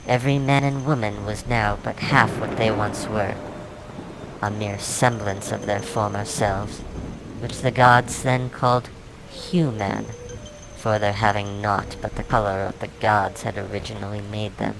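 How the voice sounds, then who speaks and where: A man reading his own fantasy novel aloud as a dramatic, solemn, measured narration. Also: lowish voice, little pitch movement, vocal fry, rough recording. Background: music soundtrack.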